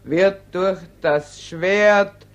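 An actress playing a bitter, grieving middle-aged fisherwoman in a German radio play, grave and deliberate in delivery. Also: mid-range voice. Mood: bitter, resolute. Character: grieving, bitter Spanish fisherwoman, mother of a grown son.

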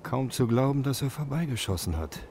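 An actor playing a businesslike homicide squad chief, curt and questioning, in a German radio crime drama. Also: male voice, mid-range voice, very animated, fairly quick, over music.

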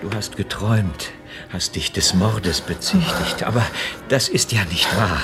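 Deep-voiced, kindly old father. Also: sing-song, a little slow. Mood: soothing, insistent. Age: older. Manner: gentle, soothing, earnest. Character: kindly, worried elderly father at sickbed. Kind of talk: radio drama acting. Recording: radio play with music underneath.

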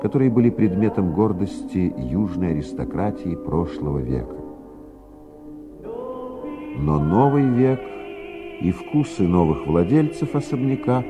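Very deep, expressive male narrator. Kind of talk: scripted dramatic narration.

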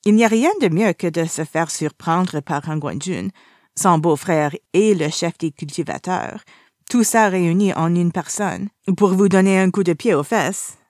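A woman reading the part of a playful, nosy, dramatically indignant young man in a story, animated and mischievous. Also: lowish voice, a little slow, no room sound, clean studio sound, close to an everyday voice. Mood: teasing.

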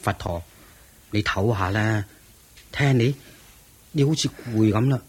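An actor playing a devoted, anxious disciple in a Cantonese radio drama, with a Hong Kong accent and an earnest, deferential, breathy delivery. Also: male voice, fairly low pitch, slow pace. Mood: concerned, deferential.

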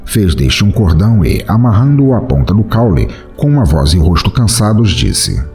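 Deep, expressive Brazilian male narrator. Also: moderate pace, soft background music. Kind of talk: scripted fantasy story narration.

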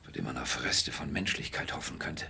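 An actor playing a calm, reserved gentleman in a radio drama, answering with dry, terse skepticism. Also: extremely low pitch, fairly quick, vocal fry, full character voice. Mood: wary challenge. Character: calm, wry, reserved gentleman facing down a crook. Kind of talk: German radio drama acting.

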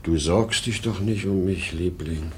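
A man's voice: sits low, a little slow, darkish tone, slight background noise. An actor playing a weary, rambling old traveling salesman in a 1950s German radio drama, swinging between outbursts and murmurs. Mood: tender, needy. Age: older.

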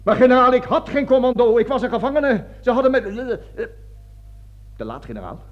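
A man's voice: very high-pitched, sing-song, a little fast. A man acting a panicking, cowardly, self-justifying army colonel in a radio drama, blustering, stammering and pleading as he repeats himself. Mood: flustered pleading. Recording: dull lo-fi old radio recording.

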